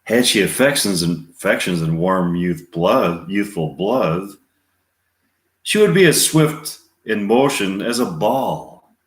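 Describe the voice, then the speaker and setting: A man reading the part of an impatient, lovesick young girl in verse, earnest and a little halting. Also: low voice, expressive. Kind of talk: amateur Shakespeare reading. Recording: echoey room.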